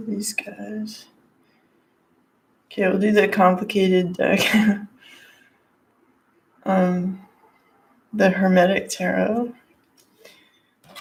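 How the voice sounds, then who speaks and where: A woman with a hoarse, gravelly voice talks through a tarot and crystal card reading from her living room. She speaks slowly, with plenty of "like" and "you know," and there is a rough fry under her mid-pitched voice. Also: poor audio. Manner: rambling, lots of "like" and "you know". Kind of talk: casual spiritual card reading.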